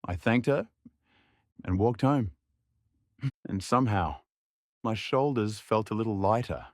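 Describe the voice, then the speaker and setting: A young man narrating a drama in the first person, in a quiet, reflective way. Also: fairly low pitch, animated, unhurried, no echo, clean studio sound.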